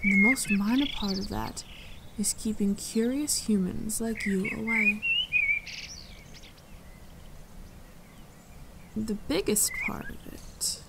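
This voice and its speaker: A woman playing a regal, dryly amused and protective fae leader in an intimate ASMR fantasy roleplay, speaking in a measured, composed, gently condescending way. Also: medium pitch, vocal fry, heavy background noise. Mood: calm warning. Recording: forest ambience with birdsong. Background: birdsong and forest sounds.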